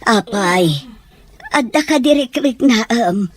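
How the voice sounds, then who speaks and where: A woman playing a gentle, caring older mother in an audio drama, speaking in a tender, consoling way. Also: on the high side, lively intonation, takes it slowly. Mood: tender concern.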